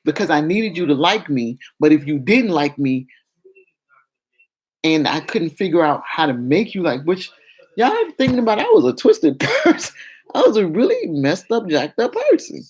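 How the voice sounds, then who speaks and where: A woman vlogger with a fairly deep, projecting voice, talking frankly and confessionally to her viewers in a casual way. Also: sing-song, moderate pace, darkish tone, slightly rough, low-quality recording. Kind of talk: candid casual talk to viewers.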